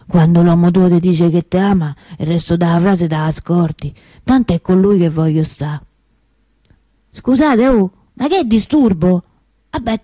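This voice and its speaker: An actress playing a chatty middle-aged souvenir seller in a radio drama, colloquial and nosy, with a Roman accent. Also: female voice, mid-range voice, a little mellow, no echo, clean studio sound, lightly acted. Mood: nosy, knowing. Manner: chatty, colloquial, addressing passers-by directly. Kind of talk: theatrical radio monologue in dialect.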